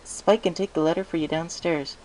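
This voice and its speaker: Man putting on a bookish pony woman, pitched up. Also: fairly even intonation, a little fast, a little mellow, a little hiss or hum, lightly acted, poor audio. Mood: businesslike. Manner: precise, wordy. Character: bookish, studious female pony scholar, eager and polite.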